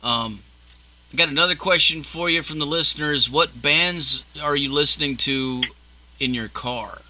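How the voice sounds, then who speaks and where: A man's voice: a little high, moderate pace, bright, forceful tone, slight background noise, rough recording. A man in his early forties hosting a casual interview, easygoing and swearing freely as he chats. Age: 40s. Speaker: podcast host.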